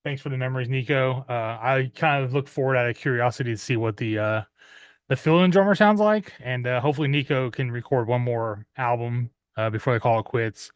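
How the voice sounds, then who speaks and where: Man, thirties, mid-pitched, dry close sound, rambling filler-heavy chat, throat clearing. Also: fairly quick, no echo. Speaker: metal music vlogger. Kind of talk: casual unboxing chat.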